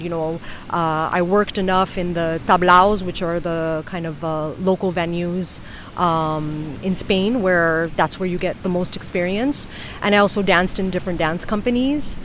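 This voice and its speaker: A woman from the United States, a flamenco dancer, answering interview questions thoughtfully with frequent pauses and ums. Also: on the low side, slight background noise. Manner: thoughtful, many ums and uhs. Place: outdoors on a beach.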